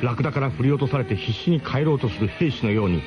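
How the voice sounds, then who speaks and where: A man's voice: medium pitch, animated, fast talker, dull audio, music in the background, lightly acted. A man playing a camper who narrates a comic radio drama in a grandiose, mock-solemn way with colloquial turns. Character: male camper grandly recounting his gang's ramshackle expedition.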